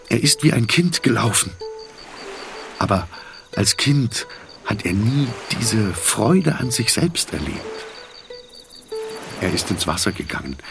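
A man reading literary fiction as an audiobook narrator, in a measured, reflective manner. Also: fairly low pitch.

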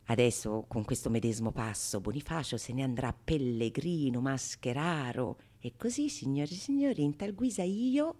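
A woman's voice: a bass voice, expressive, normal speed, hardly any pauses, warm tone. A woman playing a sly, bawdy schemer in a Renaissance comedy monologue, teasing and conspiratorial in old-fashioned theatrical language. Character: sly bawdy scheming go-between, plotting pranks on a foolish lover. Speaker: stage actress performing all parts.

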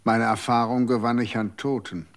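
An actor in a radio drama playing a calm, aloof former guardian of the dead who speaks curtly. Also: male voice, mid-range voice, moderate pace, creaky, natural voice. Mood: cold, weary. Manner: calm, curt.

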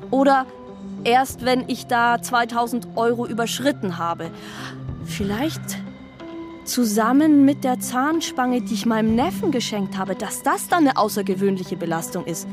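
A woman's voice: a little high, lightly acted. An actress playing a chatty woman who rambles and keeps digressing, in a radio drama monologue. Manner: rambling, digressive, self-interrupting. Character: chatty woman rambling about her tax return. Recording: radio drama with clear music behind.

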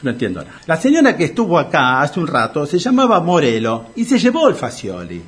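Gullible male debtor, Rioplatense, extremely raspy voice with wildly swinging pitch, grumbling radio-comedy character. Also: huge pitch swings, fairly quick, a bit bright, exaggerated voice. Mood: bewildered. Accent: Rioplatense Argentine Spanish. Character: grumbling, gullible debt-ridden porteño man.

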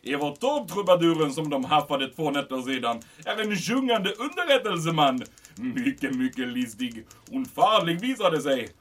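A man doing a pompous German commandant. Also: a little high. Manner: pompous, excitable. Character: fussy German camp commandant, middle-aged man. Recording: distant from mic.

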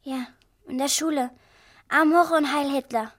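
A woman's voice: high voice, fairly even intonation, measured pace. An actress playing a reserved young refugee girl who answers briefly and earnestly in a drama. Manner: brief, matter-of-fact answers. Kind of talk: stage-style drama acting.

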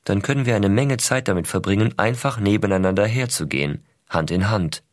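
Male actor as astronaut dad, low voice, dry close recording, tender, measured, reflective letter reading for a radio drama. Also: no room sound, natural voice. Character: American astronaut father writing tender letters to his small son. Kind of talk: scripted reading of personal letters.

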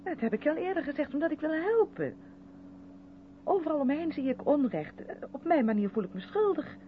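A narrow, hissy vintage radio recording carries an earnest, defensive woman of the theatre. Her mid-pitched voice moves animatedly as she insists and justifies herself in radio drama style. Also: animated, a little fast. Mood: defensive, insistent. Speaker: radio play actor. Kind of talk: Dutch 1970s radio drama acting.

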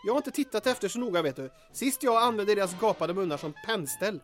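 A man's voice: sits high, expressive, faint music behind. A man playing an apologetic, morbid keeper of corpses in an audio drama, talking defensively. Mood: defensive, sheepish. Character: apologetic, macabre morgue-keeper with morbid humour.

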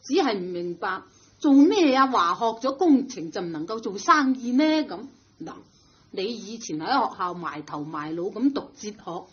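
An actress playing a sharp-tongued, teasing middle-aged wife and mother in a Cantonese radio drama, chatty and emphatic. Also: mid-range voice, sing-song, moderate pace, lo-fi sound, lightly acted.

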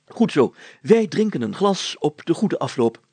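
Storybook mayor, played by a male reader: pompous and solemn, pitched up, with a gravelly edge. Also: very animated, unhurried, darkish tone, dry recording, exaggerated voice. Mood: eager, commanding. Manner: solemn, declamatory, grandly formal. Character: pompous, proud village mayor, secretly a poet. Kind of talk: acted storybook dialogue.

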